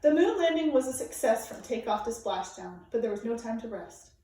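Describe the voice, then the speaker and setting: Woman reading a kids history book out loud, really echoey, sounds a bit far from the mic. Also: a little high, lively intonation, fairly quick. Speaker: storybook reader. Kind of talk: scripted narration, reading a children's nonfiction book aloud. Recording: very echoey room, distant microphone.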